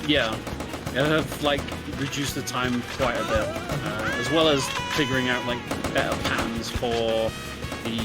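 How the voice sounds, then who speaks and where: A man's voice: medium pitch, steady tone, a little slow. A man co-commentating a speedrun, explaining the game casually and piecing his sentences together hesitantly. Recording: loud game music over voice. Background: loud video game music with guitar.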